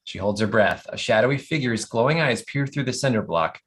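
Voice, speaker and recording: A man with a medium-pitched voice reads out scene descriptions for a cartoon comedy script. He narrates the action like a storyteller, sounding amused. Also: lively intonation, fairly quick. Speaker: stage-direction reader. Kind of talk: scripted narration at an animated comedy table read.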